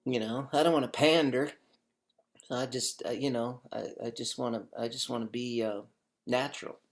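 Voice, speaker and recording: A man hosting a casual stream, rambling in a halting way with many fillers and restarts. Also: medium pitch, steady tone. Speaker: singer-songwriter hosting a home stream.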